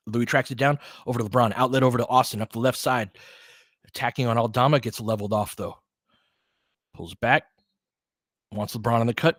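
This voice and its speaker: A man's voice: medium pitch, fairly bright tone, no echo, studio quality. A man doing basketball play-by-play commentary in clipped phrases, with informal asides and casual analysis.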